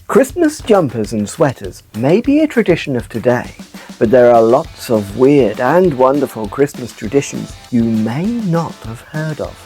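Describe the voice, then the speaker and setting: A woman voicing an excitable, chatty puppet news anchor, presenting scripted children's comedy news with emphatic delivery. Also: rumbling low, unhurried, soft background music, heavily acted. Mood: chatty, storytelling.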